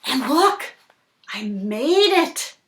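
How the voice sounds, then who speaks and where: A woman voicing a small, boastful fish thief in a picture book, confiding in a deliberate storybook delivery. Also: sits high, sing-song, heavily acted. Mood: smug. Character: small sneaky fish, boastful, self-assured hat thief. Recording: roomy, echoing indoor sound.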